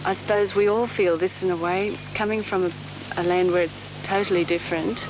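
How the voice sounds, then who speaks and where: A woman's voice: on the low side, fairly even intonation, a little fast. A woman from the Netherlands reflecting in a hesitant, thoughtful way in a documentary interview, heard on a hissy 1970s TV documentary recording.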